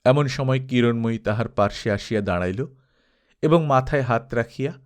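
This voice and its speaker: A man narrating a classic novel as an audiobook, with solemn, expressive and measured phrasing. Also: medium pitch. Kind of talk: scripted literary narration, dramatic storytelling.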